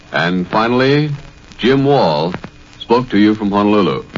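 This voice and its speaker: A man's voice: mid-range voice, a little hiss or hum. An American man anchoring a scripted 1940s radio news roundup from a newsroom. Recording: muffled vintage 1940s radio broadcast.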